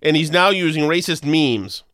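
A man's voice: a little high, expressive, moderate pace, a bit bright, no room sound, studio quality. Nasal man with a head cold. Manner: sarcastic, emphatic ranting. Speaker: podcast co-host. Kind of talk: casual political chat.

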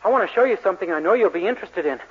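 A muffled vintage radio recording of a naive young soda jerk. His voice is high and he talks quickly, with an earnest, folksy manner suited to 1940s sitcom. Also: expressive, husky. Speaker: sitcom lead. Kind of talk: 1940s radio comedy acting.

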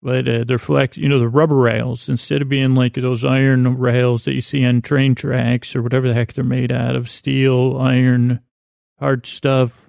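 A man hosting a bedtime podcast in a creaky voice, meandering and halting through a whimsical, self-deprecating monologue that often trails off mid-thought. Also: mid-range voice, little pitch movement, a little mellow, no room sound, clean studio sound. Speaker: podcast host.

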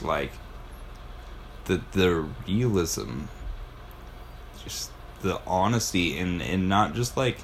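A man's voice: deep voice, slight background noise. A man hosting a casual podcast, talking in a rambling, enthusiastic, sweary way. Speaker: podcast host.

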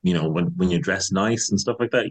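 Chatty deep-voiced man in his thirties. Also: brisk. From Zimbabwe. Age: 30s. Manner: loose and chatty, many fillers and restarts. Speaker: guest. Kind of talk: casual interview chat.

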